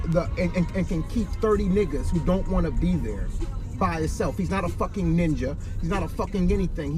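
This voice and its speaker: A man co-hosting, arguing skeptically in a heated casual debate, swearing often, inside a car. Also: sits high. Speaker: podcast co-host.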